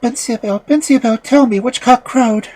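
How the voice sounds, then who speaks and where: A woman's voice: extremely high pitch, measured pace, voice pushed up. A woman voicing a meek, frightened young girl from a fairy tale, trembling and pleading.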